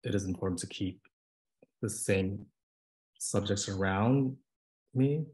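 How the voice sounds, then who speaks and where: A man's voice: on the low side, slow, a little mellow, poor audio. A young man presenting his photography on a video call, talking casually and nervously with lots of fillers. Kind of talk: casual artist talk.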